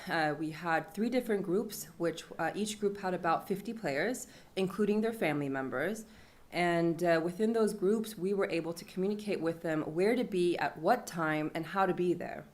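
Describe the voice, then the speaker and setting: Young woman, lowish voice, panel speaker, chatty storytelling, casual fillers. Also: normal speed, a little mellow. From Iran. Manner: conversational, with fillers and laughs. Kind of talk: storytelling talk at a panel event.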